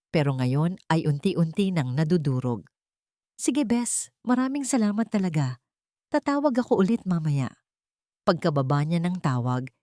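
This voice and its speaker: A woman's voice: lowish voice, warm tone, no echo, studio quality. A woman with a Filipino accent reading a dramatic story as an audiobook narrator, in a scripted storytelling style.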